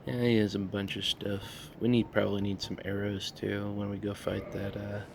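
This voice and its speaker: A man hosting a casual let's play, talking in a monotone and rambling with many fillers. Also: lowish voice, moderate pace, gravelly, noisy background. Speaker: gaming channel host.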